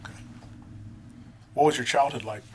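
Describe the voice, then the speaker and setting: A man's voice: medium pitch, moderate pace, slight background noise. Male podcast host asking questions. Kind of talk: interview questions.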